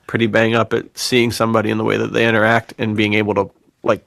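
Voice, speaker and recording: Enthusiastic man hosting a podcast, gravelly edge. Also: on the low side, completely flat delivery, fast talker, fairly bright tone, dry recording, studio quality. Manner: enthusiastic, effusive, slangy, laughs often. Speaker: podcast host. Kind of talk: casual podcast chat.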